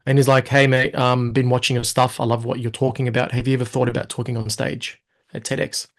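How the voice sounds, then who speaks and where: A man in his thirties chats as an interview guest, his voice sitting at an everyday middle pitch. He tells his story with energy, slipping into quick acted-out asides of what he thought at the time. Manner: animated storyteller, quotes himself in lively asides. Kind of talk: casual interview talk.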